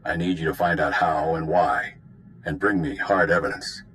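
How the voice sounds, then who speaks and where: An actor playing a stern, world-weary spymaster who briefs agents in a clipped, dry, authoritative way in a video game. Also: male voice, rumbling low, fairly even intonation, normal speed, a bit bright, a little hiss or hum. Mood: stern, wry. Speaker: intelligence commander giving a mission briefing.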